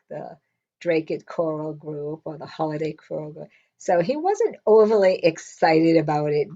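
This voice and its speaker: A woman's voice: on the low side, dull audio. Blunt older woman telling a story. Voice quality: loud voice. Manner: lively, blunt, quotes people in her stories. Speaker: interviewee. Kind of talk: casual storytelling.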